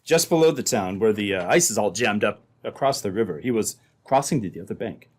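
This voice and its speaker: A man playing a scheming, double-crossing uncle in an old-time radio adventure, talking in a halting, insinuating way. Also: on the low side, lively intonation. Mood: feigned concern.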